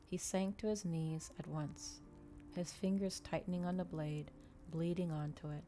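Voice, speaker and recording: Low, mellow-voiced woman reading ASMR. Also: fairly even intonation, a little slow, faint music behind. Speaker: streamer reading a novel aloud. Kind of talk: ASMR audiobook-style reading.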